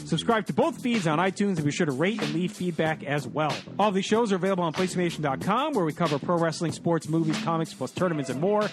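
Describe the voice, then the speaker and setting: A man reading a long scripted promotional ad for a podcast network at a brisk, listing pace. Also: a little high, lively intonation. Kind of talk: read promotional ad. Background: music bed.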